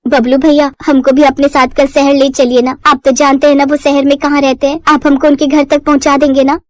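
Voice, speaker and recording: Woman voicing a high-pitched wronged village wife. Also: flat delivery, fairly quick, no echo, natural voice. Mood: pleading. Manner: rustic village speech, plaintive. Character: young village wife, wronged, pleading yet defiant.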